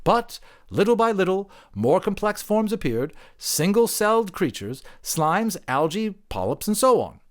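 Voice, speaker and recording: Audiobook creature role, male performer: squishy, proud sea blob. Raised pitch, pompous, easily offended, very animated delivery. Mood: proud, building. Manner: haughty, pompous, slow and deliberate. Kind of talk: audiobook character voice.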